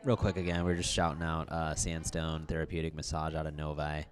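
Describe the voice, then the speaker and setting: A man's voice: extremely low pitch, little pitch movement, fairly quick. A man hosting a livestream with casual, informal race commentary and joking banter. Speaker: livestream host.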